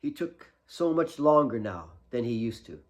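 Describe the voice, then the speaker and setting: A man's voice: medium pitch, very animated, normal speed. A man reading his own novel as a dramatic audiobook narration.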